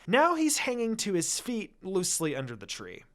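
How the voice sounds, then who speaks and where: A man reading fan fiction aloud as expressive scripted story narration. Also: high-pitched, normal speed.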